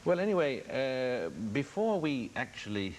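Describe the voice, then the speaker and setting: A man's voice: medium pitch, sing-song, measured pace, a little mellow. A man from the UK co-hosting a radio interview, jovial and self-deprecating. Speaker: co-host. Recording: faint hiss.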